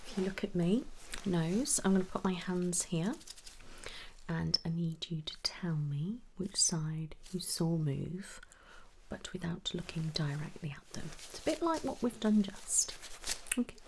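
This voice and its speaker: Soft, low-pitched woman doing ASMR doctor roleplay. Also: measured pace, a little hiss or hum. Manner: soft-spoken, reassuring, often drops into a whisper. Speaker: ASMR host role-playing a doctor. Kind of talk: ASMR medical exam roleplay, soft speech and whispering.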